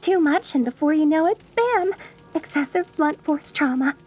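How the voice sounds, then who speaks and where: A woman playing a sweetly deranged, lovestruck mortician bride in a dark comedy audio roleplay, cooing genteel endearments at the listener with theatrical menace. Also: sits high, lively intonation, normal speed, soft background music. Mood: cheerfully menacing.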